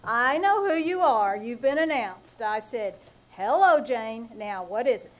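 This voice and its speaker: A woman's voice: a little high, animated, unhurried. A woman playing a dry, put-upon middle-aged businessman husband in a live 1940s-style radio comedy, delivering sarcastic wisecracks. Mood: teasing. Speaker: lead in a radio play. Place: live theater stage.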